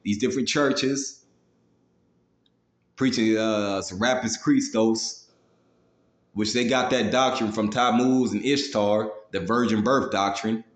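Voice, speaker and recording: A man's voice: fairly low pitch, fairly even intonation, slow, pauses often, reverberant. A man preaching in a confrontational, profane, slangy way, moving between scripture readings and blunt commentary peppered with casual tags. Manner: slangy, with frequent "man" and "you know" tags. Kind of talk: fiery street-style sermon with scripture read aloud.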